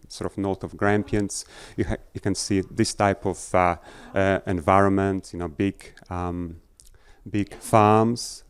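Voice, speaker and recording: Middle-aged male researcher, lowish smooth voice, halting public talk with fillers and restarts, dry close sound. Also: no room sound. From Poland. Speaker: agricultural researcher. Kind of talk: talk to an audience. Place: festival tent.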